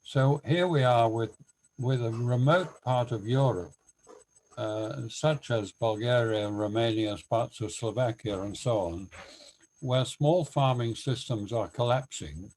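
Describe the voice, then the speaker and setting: Male webinar participant, very slow and deliberate, mid-pitched, reflective with hesitant pauses. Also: a little mellow, poor audio. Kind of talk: spoken reflection in an online discussion.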